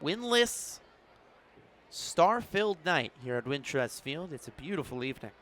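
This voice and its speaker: A man's voice: a little high, sing-song, a little slow, fairly bright tone. A man doing live radio play-by-play commentary of a baseball game as the announcer. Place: broadcast position at an outdoor ballpark in the evening.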